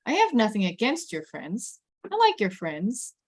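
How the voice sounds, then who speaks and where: A woman reading the part of a firm, reasoning father in a screenplay table read, in a measured manner. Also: medium pitch, huge pitch swings, darkish tone, husky, heavily acted.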